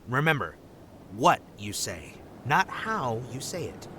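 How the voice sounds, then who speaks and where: An actor playing a cocky, playful undercover operative in an audio drama, with a theatrical, teasing delivery. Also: male voice, medium pitch, very animated, noisy background. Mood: teasing, upbeat. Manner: theatrical, joking, drawn-out delivery.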